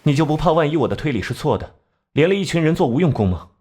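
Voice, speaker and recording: An actor playing a cool, sardonic genius detective in an animated crime drama, speaking in a measured, analytical way. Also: male voice, a little high, breathy edge. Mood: dry, sceptical. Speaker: detective laying out a case. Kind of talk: animated crime drama acting, deductive exposition. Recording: music behind.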